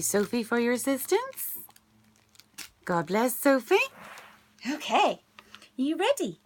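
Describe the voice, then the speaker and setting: A woman's voice: high-pitched, darkish tone, slight background noise. A woman reading a picture book aloud to her little son at home, playful and sing-song. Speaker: parent reading to a child.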